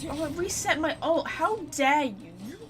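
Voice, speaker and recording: A woman's voice: high-pitched, lively intonation, normal speed. A woman live-streaming a game, reacting in casual chat with an informal, sweary, playfully blunt manner.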